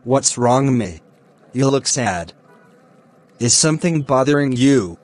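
A man playing a kind, helpful young man in a children's cartoon, speaking in simple, measured scripted lines. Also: medium pitch, lively intonation. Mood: helpful, concerned.

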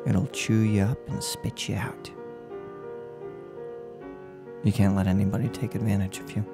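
A man in his twenties playing a soft-spoken, unhinged serial killer in a menacing, mock-polite ASMR role play, close to the microphone. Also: on the low side, level tone, a little fast, music in the background, close to an everyday voice. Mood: quiet menace. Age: 20s. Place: room at home. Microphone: close desk microphone on boom arm.

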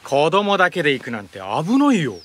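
A man playing an excitable, worrying father in a children's cartoon, with a fretful, animated manner. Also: fairly high pitch, brisk, heavily acted. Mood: worried.

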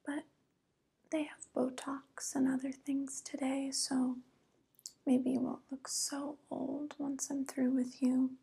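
A woman's voice: high-pitched, level tone, gravelly, exaggerated voice. A young woman playing an evil, ageless witch in an ASMR roleplay, whispering breathily and close with condescending mock pity. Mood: cold, musing. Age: young adult. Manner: slow, hushed, condescending, mock-pitying. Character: evil 142-year-old witch who looks young, coolly predatory. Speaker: ASMR performer. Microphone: close microphone.

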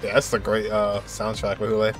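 A man's voice: on the low side, monotone, fairly quick. A man in his twenties giving casual, slangy reaction commentary on a video game, in a laid-back way. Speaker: gaming content creator. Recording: game music behind the voice.

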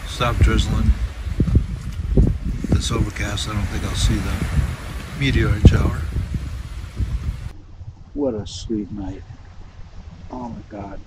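An older man casually narrating his camping trip, relaxed and rambling with many ums and uhs, recorded outdoors at a campsite. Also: on the low side, slow, pauses often, heavy background noise. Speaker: camping vlogger.

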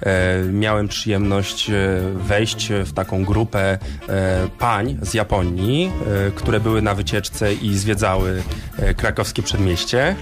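A man hosting a radio show in casual, chatty talk, with hesitant pauses as he goes. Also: on the low side, steady tone, music in the background. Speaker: radio host.

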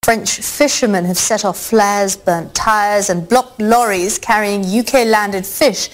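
A woman's voice: mid-range voice, fairly even intonation, slow, slight background noise, poor audio. A woman reading scripted world news as a newsreader.

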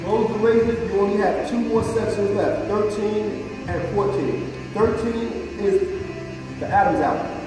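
A high-voiced man teaching barbering, calling out numbered razor strokes as he shaves a client. He is recorded from across a large barbershop on a phone, so his voice is distant and roomy. Music plays clearly in the background. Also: a little slow. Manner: counts off steps while demonstrating on a client. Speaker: barber instructor. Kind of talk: live classroom demonstration. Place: large barbershop classroom, indoors. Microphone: phone camera held by a student a few meters away. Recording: roomy echoey phone audio from across the room.

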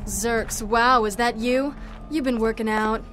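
A woman's voice: on the high side, fairly even intonation, unhurried, music in the background, close to an everyday voice. A woman playing a tough, sardonic half-vampire action heroine in a video game cutscene, wry and mocking.

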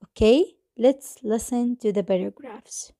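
An English teacher whose first language is Arabic, giving an online class over slides and switching between English and Arabic, speaking slowly and deliberately in English and repeating herself. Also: on the high side, warm tone, dry recording, clean studio sound.

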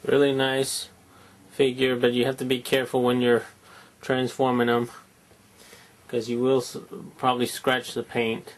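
A man's voice: mid-range voice, completely flat delivery, measured pace. A man giving a rambling, offhand toy review at home at night, recorded on a camera mic. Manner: rambling, offhand, muttering asides. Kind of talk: casual hands-on review. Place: quiet room at home at night.

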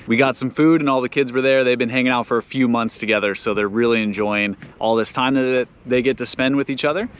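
An upbeat man giving casual, excited narration for a sailing vlog. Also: medium pitch, fast, few pauses, clean tone. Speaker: vlogger narrating a family sailing trip.

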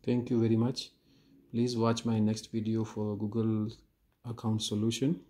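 A man talking through a phone reset step by step in a slow, plain, instructive voice-over with frequent pauses. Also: lowish voice, monotone, dark tone. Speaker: phone repair tutorial host.

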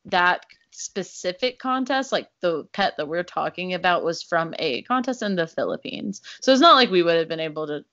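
A woman's voice: on the low side, expressive, moderate pace, few pauses, a bit bright, no room sound, lo-fi sound. A young woman co-hosting a podcast, chatting casually with a rambling, self-deprecating manner and frequent laughter. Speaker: podcast co-host. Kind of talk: casual chat.

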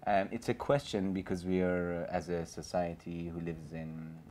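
A man's voice: sits low, flat delivery, moderate pace, warm tone, a little hiss or hum. A man in his thirties with a Persian accent, speaking on a gallery panel in an earnest, rambling way full of fillers and restarts. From Iran. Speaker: panelist and filmmaker. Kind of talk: panel discussion. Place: small gallery room with an audience.

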